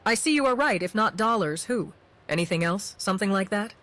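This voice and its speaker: A stern female agent of a fantasy resistance voiced by a woman delivers game dialogue. She is secretive, with an average-pitched voice. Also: expressive, fairly quick, fairly bright tone, natural voice. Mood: stern, serious. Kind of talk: video game character dialogue.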